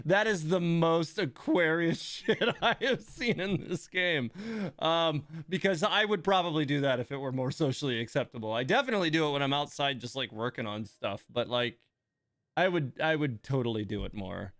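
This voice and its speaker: A man's voice: mid-range voice, a bit bright, clear, resonant tone, no echo. A man giving casual live stream commentary on a game in a rambling, amused, conversational way.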